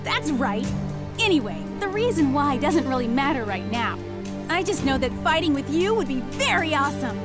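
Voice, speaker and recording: A woman's voice: high-pitched, very animated. A woman playing an eager, bubbly young jiu-jitsu fighter in a video game, bouncy and enthusiastic in her delivery. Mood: excited. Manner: bouncy, enthusiastic, drawn-out exclamations. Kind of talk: video game cutscene acting. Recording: loud music behind.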